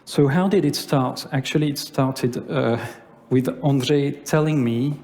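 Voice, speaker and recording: A man from France with a French accent giving a conference talk in English, pausing often with filler sounds. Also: mid-range voice, steady tone, a little mellow. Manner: hesitant, many filler sounds. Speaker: conference speaker. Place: lecture hall. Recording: long reverb of a hall.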